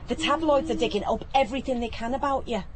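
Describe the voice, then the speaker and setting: Higher-voiced, chatty woman played by an actress. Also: quick pace, slight background noise, close to an everyday voice. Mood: coaxing, eager. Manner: animated, coaxing, informal. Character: eager, chatty, warm-hearted woman promoting her shy friend. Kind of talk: naturalistic radio play acting.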